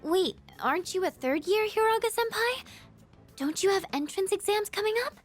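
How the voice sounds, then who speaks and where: An actress voicing a shy, gentle schoolgirl who speaks softly and hesitantly. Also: very high voice, expressive, moderate pace, faint music behind. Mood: friendly. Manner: soft, hesitant.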